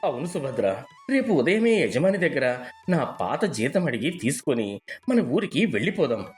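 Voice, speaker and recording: A man's voice: mid-range voice, very animated, normal speed, soft background music, natural voice. A man playing an honest, humble poor gardener in a moral story, earnest and deferential. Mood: excited, relieved. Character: humble, honest poor male gardener, tearful, devoted husband. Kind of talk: dramatised moral storybook dialogue.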